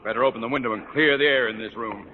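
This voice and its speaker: An actor playing an irascible, pompous middle-aged school principal in an old radio sitcom. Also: male voice, medium pitch, very fast, natural voice. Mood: irritated. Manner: pompous, blustery. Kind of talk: 1940s-50s radio sitcom acting. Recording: dull old radio, hall echo, hiss.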